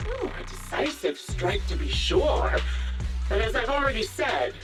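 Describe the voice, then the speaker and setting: A woman's voice: a little high, wildly swooping pitch, measured pace, recorded from a distance, soft background music. A woman voicing a sadistic sniper villain in a video game, mock-polite, teasing and theatrical. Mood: smug teasing.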